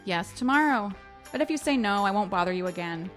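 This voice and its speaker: A woman's voice: medium pitch, expressive, brisk, soft background music, close to an everyday voice. Woman reading as an awkward earnest nerdy man. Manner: stilted, awkward, over-literal. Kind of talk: amateur dramatic reading of a novel.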